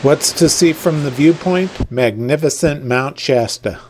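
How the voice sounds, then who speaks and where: A man's voice: on the high side, a little slow, slight background noise, poor audio. A man delivering scripted travel narration as the presenter of a hiking channel. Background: background music.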